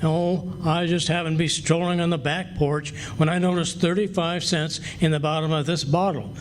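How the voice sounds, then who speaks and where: A man playing a hapless, quick-tempered husband in an old-time radio comedy, with folksy bluster. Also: fairly high pitch, steady tone, close to an everyday voice. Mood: sheepish. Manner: folksy tongue-twisting bluster. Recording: live stage recording, noticeable background noise.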